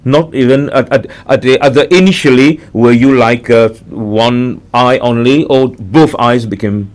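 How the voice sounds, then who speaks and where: A man's voice: medium pitch, measured pace. A man hosting a conversational broadcast interview, repeating answers back and addressing viewers directly.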